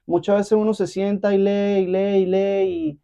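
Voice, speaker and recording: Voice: mid-range voice, level tone, measured pace, creaky, warm tone, clear, resonant tone, highs cut off. An interview host asking questions in a casual, affirming, conversational way. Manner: affirming and conversational, with small listening sounds.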